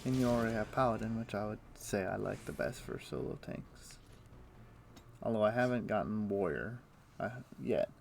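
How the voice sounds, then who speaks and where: A man's voice: lowish voice, normal speed, gravelly, a little hiss or hum. A man streaming a game from home on a headset, chatting casually and thinking aloud with plenty of ums and uhs. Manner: relaxed, thinks aloud with many fillers. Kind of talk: casual chat while gaming.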